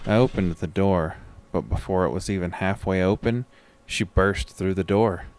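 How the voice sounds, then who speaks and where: An actor playing a sick, groggy man who tells his own horror story in a weary, measured way. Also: low voice, a little mellow, slight background noise. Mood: grim narration. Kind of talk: first-person scripted horror narration with acted dialogue.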